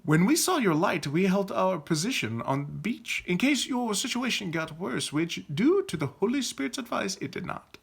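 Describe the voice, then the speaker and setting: A man's voice: high voice, big pitch swings, normal speed, gravelly, few pauses, breathy edge, voice pushed up, exaggerated voice. A man voicing a self-assured young male leader of holy knights in a storybook, stately and probing. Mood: smug.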